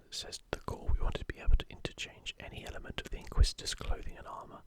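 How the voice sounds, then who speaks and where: An ASMR host whispering a commentary in a halting, musing, unscripted way.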